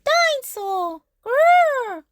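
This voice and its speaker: A woman's voice: very high voice, sing-song, very slow, dry recording, clean studio sound, pitched above the performer's own voice, full character voice. A woman voicing a toddler boy pig who squeals and growls like a dinosaur in a children's storybook. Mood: excited, roaring. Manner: squealed one-word exclamations and growls. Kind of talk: children's storybook read-aloud.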